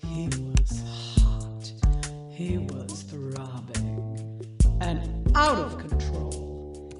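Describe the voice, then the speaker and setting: An actress playing a seductive woman narrating a steamy screenplay in voice-over, with deliberate delivery. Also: fairly low pitch, exaggerated voice. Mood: sultry, controlled. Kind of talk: audio play voice-over. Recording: roomy echo, distant, loud music bed.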